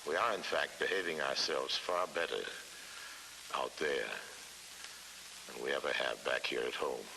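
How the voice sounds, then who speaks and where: A man reading scripted documentary narration in a measured way, with dramatic pauses. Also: extremely low pitch, gravelly. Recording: hissy vintage 1970s film soundtrack.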